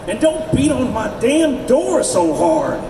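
A man's voice: extremely high pitch, very animated, slow, vocal fry, pitched above the performer's own voice, exaggerated voice. A man voicing a menacing, irritable male villain from a story, cajoling or curt. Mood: irritated. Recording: roomy, distant hall recording with loud noise.

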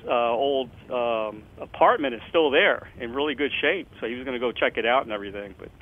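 A man hosts a radio interview in a mid-pitched, casual voice, dropping in quick agreements while his guest talks. His audio is narrow and thin, like a phone line. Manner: frequent short backchannels, friendly and casual. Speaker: radio show host. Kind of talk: interview hosting.

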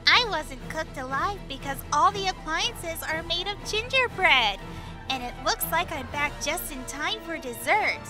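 Cartoon dub role: sly, hungry little girlish creature, very high, slow and coy. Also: expressive, over music. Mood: menacing glee. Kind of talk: comic dub voice acting.

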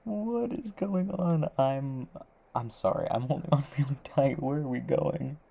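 A young man playing a tender, needy, giggly boyfriend in an intimate ASMR roleplay, speaking softly and haltingly. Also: medium pitch, gravelly. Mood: flustered, startled. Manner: soft, hesitant, halting.

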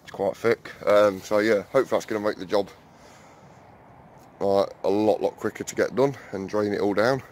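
Low-voiced man, rambling vlogger, chatty, filler-heavy, faint outdoor hum behind. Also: fairly even intonation, moderate pace, darkish tone. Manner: rambling, chatty, many fillers, keeps calling viewers guys. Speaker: allotment vlogger. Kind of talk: casual walk-and-talk vlog. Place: outdoor allotment, then small garden shed.

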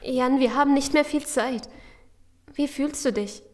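Urgent, higher-voiced female survivor. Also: unhurried, a little mellow, a little hiss or hum. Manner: urgent, persuasive. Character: determined, caring female survivor pushing escape. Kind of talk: German audio drama acting.

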